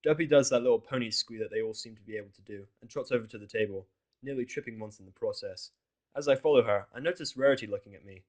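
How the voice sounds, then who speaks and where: A man's voice: mid-range voice, fast talker, a little mellow. Man narrating a blunt first-person story. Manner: blunt, sweary. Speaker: audiodrama narrator of fan fiction. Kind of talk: first-person scripted narration with character voices.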